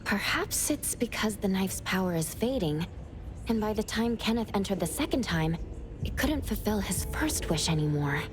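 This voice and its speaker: A voice actress playing a composed, analytical young female investigator in a video game, reasoning aloud in a measured, serious way. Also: medium pitch, animated, over music, close to an everyday voice. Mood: pensive. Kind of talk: video game character acting.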